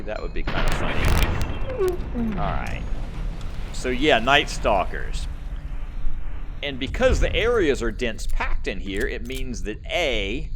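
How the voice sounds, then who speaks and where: A man hosts a gameplay video in a fairly low voice with animated swings in pitch. He rambles casually, thinking aloud as he plays. There is faint noise and game ambience behind him. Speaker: gaming channel host.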